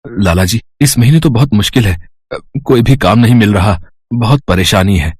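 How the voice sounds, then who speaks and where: A man voicing a poor, humble village husband, worried yet reassuring, in an animated storybook tale. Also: fairly low pitch, normal speed, slightly rough, dry recording, studio quality, voice pushed down. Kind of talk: animated storybook cartoon dialogue.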